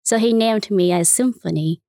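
A woman from Vietnam, with a clear Vietnamese accent, answers questions in careful, halting English. She pauses often to find her words. The sound is dry and close, with no room echo. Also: medium pitch, dark tone. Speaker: interviewee, nun. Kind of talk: casual interview answers.

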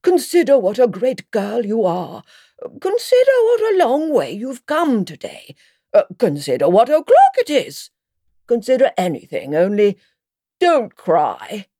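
A woman's voice: on the high side, huge pitch swings, a little slow, dark tone, dry recording, clean studio sound, voice pushed up, full character voice. A woman as a fretful, swooping old storybook queen. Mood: despairing. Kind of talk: storybook character voice.

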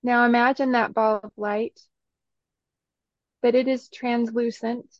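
A woman leading a guided meditation in a soothing, instructional way, in short phrases with long pauses between them. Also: a little high, level tone, dry recording, lo-fi sound.

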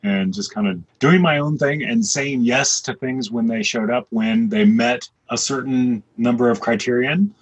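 A man's voice: medium pitch, moderate pace, few pauses, slightly rough, rough recording. A middle-aged man from the American Midwest with a Midwestern accent, telling stories in a rambling, thoughtful way as an interview guest. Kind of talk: casual interview.

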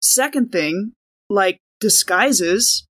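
Woman gamer, normal pitch, chatty n rambly, making it up as she goes in a tabletop game. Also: expressive, very slow, fairly bright tone, breathy edge. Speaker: player on a tabletop game podcast. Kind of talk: casual in-game chat, improvised.

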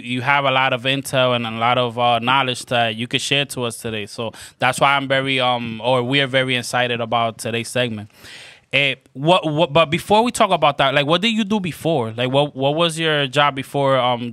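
A man co-hosts a podcast in a bright, crisp voice that sounds clean and close to the mic. He asks rambling questions and keeps circling back with fillers. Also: medium pitch, fairly even intonation, brisk, no room sound. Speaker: podcast co-host. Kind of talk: casual podcast interview.

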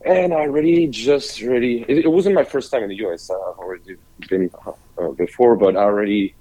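A man's voice: mid-range voice, fairly quick, dark tone, poor audio. A restaurant owner from France with a French accent, telling his story hesitantly with many restarts. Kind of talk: casual interview.

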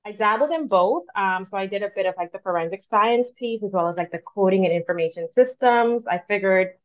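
A woman from the Bahamas, in her thirties, speaking as a career coach guest in a relaxed, thoughtful way with frequent fillers. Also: mid-range voice, brisk. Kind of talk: casual interview talk. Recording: dull lo-fi sound.

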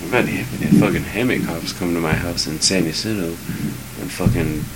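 Deep-voiced man, noisy podcast. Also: normal speed. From Southern California. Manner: rambling and profane, with many restarts. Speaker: podcast co-host. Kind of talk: casual chat. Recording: noisy home podcast recording.

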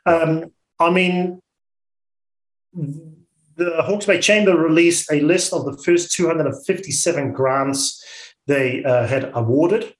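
A man's voice: a little high, slow. Blunt Dutch man in a roomy space. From Netherlands. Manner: blunt, outspoken. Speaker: interview guest, orchard business owner. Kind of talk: radio interview.

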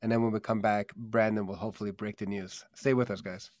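A man's voice: on the low side, flat delivery, fast talker, heavy background noise. A man born in Germany and raised in America, hosting a live stream in an upbeat, earnest way with many fillers, over a video call. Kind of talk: casual promotional live-stream talk.

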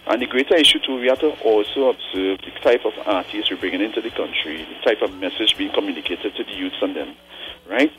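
A man in his forties calling in to a radio show, thinking aloud earnestly with frequent pauses and tag questions like "right?". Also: fairly low pitch, background noise. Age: 40s. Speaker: caller. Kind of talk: call-in radio commentary.

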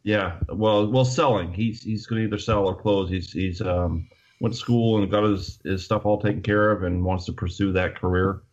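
A man co-hosting a casual podcast chat, joking around and stumbling over repeated words. His voice is fairly low. The recording sounds dull and lo-fi. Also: steady tone, moderate pace, a little mellow.

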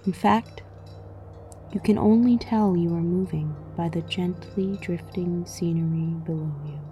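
A woman narrating a scripted guided meditation in a soothing, measured way with frequent pauses, her voice soft and breathy, often near a whisper. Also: fairly low pitch, fairly even intonation. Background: music.

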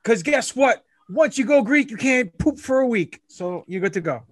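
A man's voice: very high-pitched, expressive, moderate pace, no room sound. A man, a wrestler guest on a podcast, talking in a boastful, hammy promo style full of trash talk. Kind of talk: casual chat in a brash wrestling promo manner.

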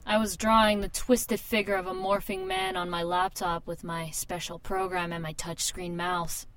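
A woman's voice: mid-range voice, moderate pace, a bit bright, lightly acted. A woman playing a nervous young female tattoo artist in a radio drama, informal and self-conscious, both narrating and in dialogue. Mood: confiding, wry. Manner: informal, hesitant in dialogue, confiding when narrating. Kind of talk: radio drama acting with first-person narration.

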